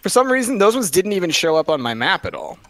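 A woman's voice: lowish voice, very animated, quick pace. A woman chatting casually in an online game, playful and given to exclamations. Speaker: gamer playing online with friends. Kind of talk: casual gaming chat.

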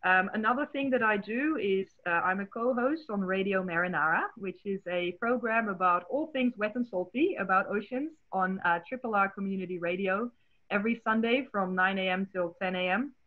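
Dutch woman scientist presenting over a video call, mid pitch, tinny phone-like sound. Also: normal speed. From Netherlands. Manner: friendly, explanatory, many "uh" fillers. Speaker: marine biologist and educator.

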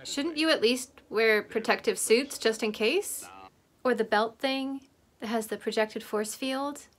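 A woman's voice: a little high, measured pace, a bit bright. A woman in her thirties reacting casually to a show, commenting as she watches. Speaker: host reacting to a TV episode. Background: soundtrack of the episode.

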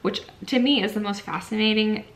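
A woman's voice: mid-range voice, a little fast, low-quality recording. A young woman chatting casually and informally to camera, like a vlogger showing things at home. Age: young adult. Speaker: book vlogger. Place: small furnished room at home, indoors.

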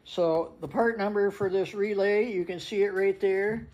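A woman's voice: medium pitch, fairly even intonation, normal speed, a little mellow, muffled recording. An older woman giving a casual hands-on tutorial in a plain-spoken, practical way. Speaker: hobbyist demonstrator. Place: indoors at a wooden workbench.

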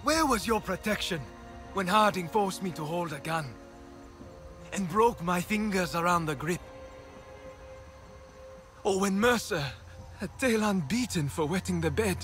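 A man's voice: high-pitched, expressive, fairly bright tone, soft background music. A man acting a bitter, wounded young alien survivor in a dramatic video game scene, with an accusing manner. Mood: hurt, accusing. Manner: slow, accusing delivery.

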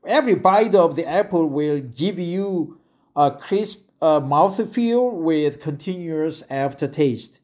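Slow, halting man. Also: on the high side, animated, a little mellow. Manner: slow, halting, repeats phrases and stumbles on words. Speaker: English learner reading aloud. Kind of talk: reading a web article aloud with asides.

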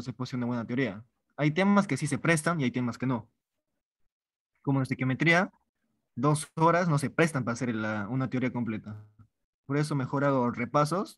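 A man's voice: medium pitch, dry recording. A man from Peru teaching an online chemistry review class, informal and repeating words as he writes out problems. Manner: informal, repeats words while writing on a board. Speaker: chemistry tutor.

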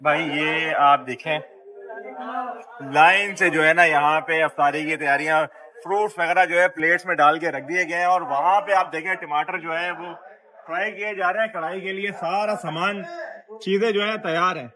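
A man in his thirties with a Pakistani Urdu accent, hosting a casual food vlog outdoors and reacting with excited exclamations. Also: on the high side, normal speed, a crowd in the background. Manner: excited, exclamatory, chatty with staff. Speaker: food vlogger. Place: outdoor restaurant at dusk.

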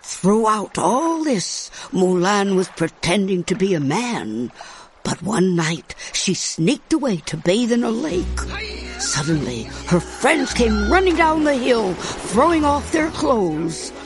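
A woman playing a fond grandmother, narrating a storybook in a measured, expressive way. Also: mid-range voice, husky, faint music behind, full character voice. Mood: fond, dramatic.

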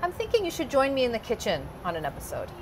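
A woman's voice: high-pitched, huge pitch swings, fast talker. A young woman hosting an interview, bubbly and laughing often as she chats. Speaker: host. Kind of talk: casual interview chat. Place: high-rise room with big windows. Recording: noticeable background noise.

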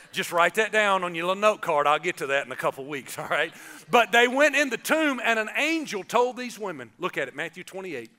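Lively pastor preaching Easter. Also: male voice, high-pitched. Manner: animated, gestures broadly, calls on the congregation. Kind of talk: energetic Easter sermon. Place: church stage, large indoor auditorium.

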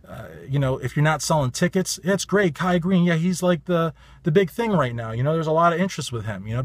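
Raspy man vlogging from his car. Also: on the high side, dry recording. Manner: blunt, emphatic, repeats stock phrases. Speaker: bodybuilding commentator. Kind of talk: casual opinion vlog. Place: parked car. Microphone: phone at arm's length.

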